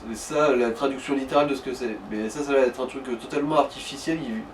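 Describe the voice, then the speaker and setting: A man's voice: mid-range voice, quick pace, far from the mic. A man talks a player through a game in casual chat. His explanations come out in hesitant pieces. He sounds set back from the microphone, over faint background noise. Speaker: advisor to the player in a let's play.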